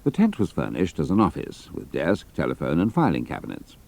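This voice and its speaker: A man's voice: extremely low pitch, huge pitch swings, fairly quick, gravelly, a little mellow. A man narrating a dramatised adventure story.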